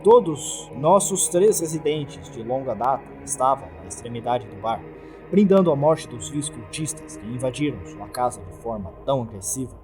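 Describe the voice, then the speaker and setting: A man's voice: medium pitch, lively intonation, normal speed, over music. A man with a Brazilian accent narrating a scripted horror story.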